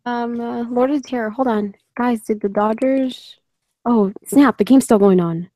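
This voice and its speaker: A young woman hosts a loose, joking chat with friends, often sarcastic and quick to laugh. Her voice sits a little high, and the recording is dry and close with no room sound. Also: warm tone, no echo. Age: 20s. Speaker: host. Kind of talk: casual livestream chat with guests.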